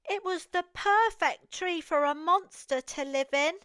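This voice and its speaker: English female storyteller, very high voice, unhurried and expressive, for toddlers' picture books. Close, dry sound. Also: dry recording. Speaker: children's storyteller. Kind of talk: read-aloud picture book narration for toddlers.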